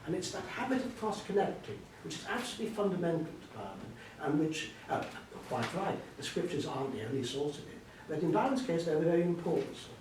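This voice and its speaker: A man's voice: big pitch swings, quick pace, few pauses. An older man speaking as an author in a literary discussion before an audience, in an emphatic, discursive way. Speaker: author being interviewed. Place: echoey hall at a live book event. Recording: very echoey, distant mic, faint rumbling noise.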